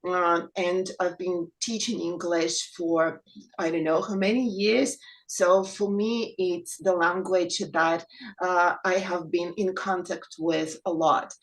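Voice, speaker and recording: A woman from Ukraine with a Ukrainian accent, a Russian native speaker and English teacher, explaining her view calmly on a video call panel. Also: fairly low pitch, slow pace, poor audio. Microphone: laptop mic on video call.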